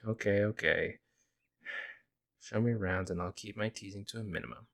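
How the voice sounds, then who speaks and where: A man playing a friendly young werewolf, a lone wolf who talks casually and teases gently in an ASMR audio roleplay. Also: on the low side, normal speed, gravelly. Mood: playful, teasing. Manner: casual, hesitant musing, gently teasing. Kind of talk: ASMR audio roleplay, intimate conversational acting.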